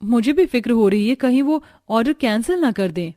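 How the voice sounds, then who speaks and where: A woman voicing hardworking, excitable young village daughters-in-law in a Hindi cartoon story, with an expressive, exclaiming delivery. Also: fairly high pitch, a little fast, natural voice. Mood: concerned.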